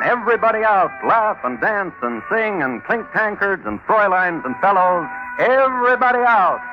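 An actor playing a wry, theatrical narrator of a comic hard-luck tale, speaking in clipped dramatic fragments. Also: male voice, on the high side, muffled recording. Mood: festive, theatrical. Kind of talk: dramatic radio storytelling.

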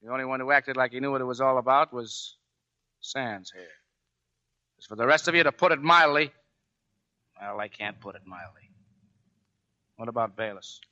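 A man acting in a 1940s radio drama as a tough, sardonic Navy chief, with a gruff way of talking. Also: mid-range voice, normal speed, long pauses, bright, forceful tone, close to an everyday voice. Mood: scornful scolding. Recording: muffled 1940s radio recording.

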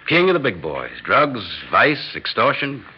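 An actor in a classic radio drama playing a cynical, slick middle-aged American press agent, sardonic and wisecracking in a husky voice. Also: male voice, medium pitch, huge pitch swings, unhurried, slight background noise. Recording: dull lo-fi radio drama recording.

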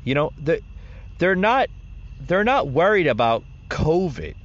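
A man's voice: fairly high pitch, very animated, unhurried. A man hosting an opinion podcast, talking emphatically and often calling his listeners folks. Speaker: podcast host. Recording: dull lo-fi sound with faint noise.

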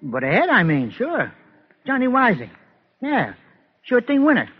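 Swooping, muttering betting tout. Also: male voice, very high-pitched, wildly swooping pitch, measured pace, slightly rough, heavily acted. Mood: calculating, insistent. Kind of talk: 1950s radio crime drama acting. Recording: muffled old radio recording with music.

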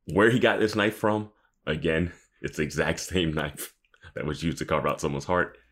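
A man co-hosting a podcast in casual recap chat, animated and incredulous, often acting out imagined lines. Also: very deep voice, moderate pace. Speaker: podcast co-host.

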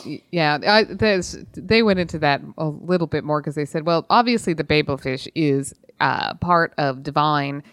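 Low, dry-sounding woman in casual conversation. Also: normal speed, hardly any pauses, no room sound. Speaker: podcast co-host. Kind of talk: casual book discussion.

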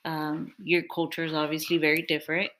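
A woman's voice: sits low, completely flat delivery, fairly quick, fairly bright tone, slight background noise. A young woman in casual chat with her partner on a podcast, talking freely, laughing often and complaining playfully. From Mexican-American family. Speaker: podcast co-host.

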